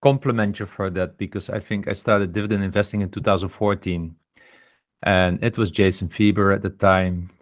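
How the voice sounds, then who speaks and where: A man's voice: low voice, steady tone, moderate pace, no echo, clean studio sound. A man co-hosting a podcast, chatting casually and recalling the old days. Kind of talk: casual chat.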